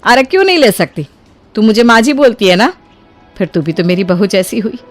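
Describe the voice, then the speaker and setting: Casting: actress as kindly older mother-in-law for moral-story cartoon, very lively intonation, motherly and colloquial, music underneath. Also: mid-range voice, fairly quick, lightly acted. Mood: caring. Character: kind, generous older mother-in-law of the household. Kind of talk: acted Hindi moral story dialogue.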